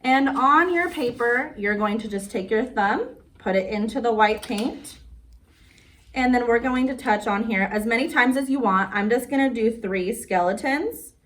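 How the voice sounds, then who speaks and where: A woman's voice: a little high, normal speed, fairly bright tone. Woman reading to small children, noisy distant recording. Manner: sing-song, simple explanations aimed at small children. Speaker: children's storyteller and craft host. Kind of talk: picture-book read-aloud and craft demonstration for young children.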